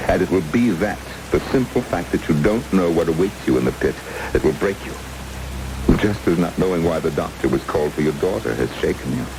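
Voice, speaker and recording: A very low, suave villain in an old radio drama. He menaces his captives with deliberate, gloating politeness. The old broadcast sits under loud, rain-like hiss. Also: lively intonation. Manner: mock-courteous, deliberate, gloating. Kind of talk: vintage radio drama acting.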